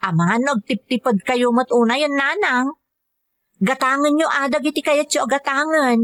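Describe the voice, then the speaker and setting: An actress plays a devoted daughter working overseas as a caregiver, with a fairly high voice and an Ilocano accent. She speaks earnestly and respectfully, in radio drama style. The recording is close and dry. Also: moderate pace, a bit bright, no echo. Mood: respectful, reassuring.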